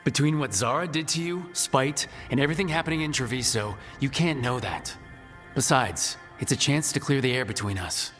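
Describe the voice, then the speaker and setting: A steady male team leader from a video game, voiced by an actor, with a middle-pitched voice. He talks calmly and wryly, supportive of his companions, over faint music. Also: moderate pace, natural voice. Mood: concerned, firm.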